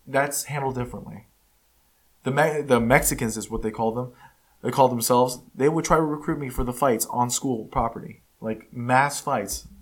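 Blunt man with frequent restarts. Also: medium pitch. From United States. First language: Spanish. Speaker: podcast host. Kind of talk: casual opinion monologue. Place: California.

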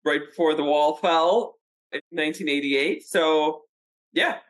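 A woman's voice: deep voice, poor audio. Host: Russian-born woman, 40s, upbeat, laughs easily. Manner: upbeat, laughs often, quick restarts. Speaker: podcast host. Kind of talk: casual interview chat.

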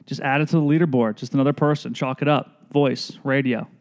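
A man's voice: medium pitch, steady tone, fairly quick. A man hosting a casual podcast chat, teasing his guest with joking banter into an inexpensive podcast microphone. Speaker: podcast host.

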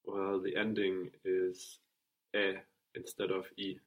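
A man's voice: low voice, completely flat delivery, slow pace, rough recording. A man answering as a student in a language lesson, hesitant and tentative.

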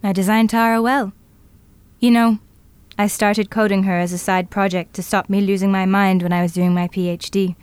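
A self-assured woman futurist played by an actress in an audio drama. Her voice sits at a medium pitch, with a brisk, matter-of-fact delivery and natural conversational acting. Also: flat delivery, a little mellow, clear, resonant tone, no echo, close to an everyday voice. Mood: proud, amused.

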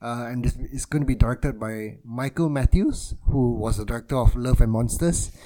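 Man from Malaysia, podcast co-host, easygoing, frequent ums, mid-pitched voice. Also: moderate pace. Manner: relaxed, many ums and quiet trailing endings, laughs easily. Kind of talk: casual film podcast chat.

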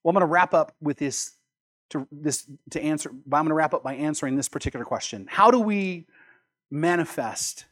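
High-pitched male preacher delivering a sermon. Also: lively intonation, brisk, a bit bright. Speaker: pastor preaching. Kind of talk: sermon with scripture readings.

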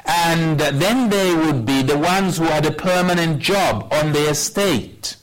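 A man in his sixties preaching a sermon, telling a personal story in a measured way with wry humor. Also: a little high, rough recording. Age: 60s. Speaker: pastor.

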